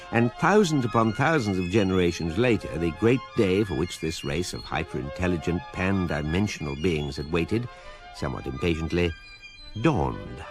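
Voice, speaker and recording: Radio comedy narrator: deep, measured, drily grand, music bed underneath. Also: male voice. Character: urbane, wry male storybook guide narrator.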